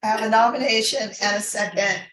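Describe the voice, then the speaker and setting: A woman's voice: fairly high pitch, steady tone, fairly quick, a crowd in the background. A woman chairing a formal board meeting, moving through procedure with conversational, informal asides. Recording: echoey room recording.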